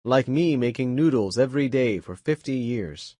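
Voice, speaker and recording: A man's voice: mid-range voice, lively intonation, moderate pace, dark tone, no echo, studio quality, lightly acted. A man voicing a stubborn, dignified old grandfather in a storybook reading. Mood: resolute.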